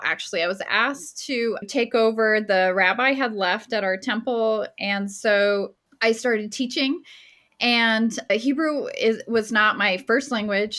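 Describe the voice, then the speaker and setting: A woman's voice: on the high side, fairly bright tone. A woman in her forties from New Mexico, talking eagerly about teaching and art, laughing often and speeding up in bursts. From Las Cruces, New Mexico, US. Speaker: guest artist and teacher. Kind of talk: casual interview talk.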